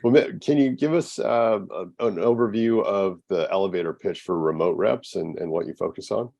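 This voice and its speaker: Deep-voiced easygoing male podcast host. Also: moderate pace, gravelly, a little mellow. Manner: easygoing, upbeat interjections. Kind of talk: casual interview hosting.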